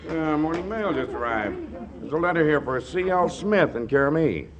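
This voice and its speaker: Casting: male actor as gruffly impatient middle-aged dad, very animated, muffled 1940s radio comedy, crowd audible. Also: fairly high pitch, normal speed, close to an everyday voice. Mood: brisk, puzzled. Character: bluff, exasperated middle-aged father. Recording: dull old radio, audience noise.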